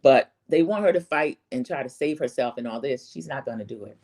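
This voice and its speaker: Low-pitched, slightly scratchy woman recapping TV. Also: lively intonation, fairly quick, dark tone. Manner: opinionated, rambling storytelling with acted-out quotes. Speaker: host of a reality TV recap channel. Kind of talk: casual chatty recap commentary.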